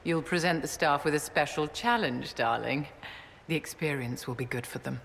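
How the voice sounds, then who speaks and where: A woman's voice: sits low, moderate pace, gravelly, fairly bright tone. An actress playing a poised, imperious female religious leader in a video game, haughty and polished, with teasing endearments. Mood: teasing, indulgent. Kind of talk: video game cutscene acting. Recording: long hall reverb, faint noise.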